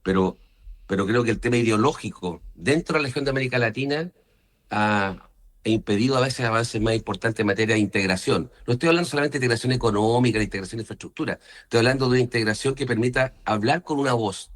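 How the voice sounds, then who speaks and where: A man's voice: mid-range voice, fast talker. Chilean man explaining his political views. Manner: punctuates points with short questioning tags. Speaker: senator being interviewed. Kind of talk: political interview, explaining opinions.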